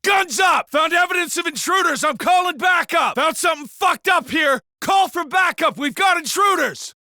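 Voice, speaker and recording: A man with an American accent acting a gruff, foul-mouthed beat cop in a video game, with sardonic delivery. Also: very high-pitched, lively intonation, moderate pace, bright, forceful tone, dry recording, raised voice, studio quality, full character voice. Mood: alarmed shouting.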